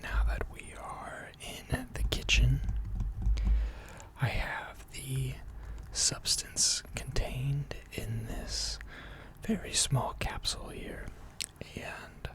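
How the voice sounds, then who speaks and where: A person in an ASMR roleplay voicing a gentle, attentive mechanic who tends robots, speaking formally and deliberately in a soft, breathy whisper. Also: medium pitch, very animated, exaggerated voice. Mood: calm, methodical. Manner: slow, deliberate, soothing, formal.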